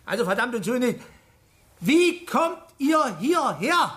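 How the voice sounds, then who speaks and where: An actor playing an irritable, grumbling village police chief in a radio drama, gruff and exasperated. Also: male voice, very high-pitched, sing-song, slow pace, fairly bright tone, full character voice. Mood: angry, exasperated.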